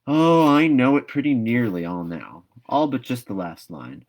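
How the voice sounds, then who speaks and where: A man's voice: mid-range voice, expressive, moderate pace, lightly acted. Man reading as a gushing, dreamy young orphan girl. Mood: excited, pleading. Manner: gushing, wistful, dramatic. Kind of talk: storybook read-aloud.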